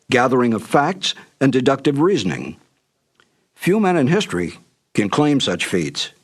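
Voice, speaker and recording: Man narrating formally, medium pitch. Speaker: narrator introducing a radio drama. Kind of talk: scripted narration.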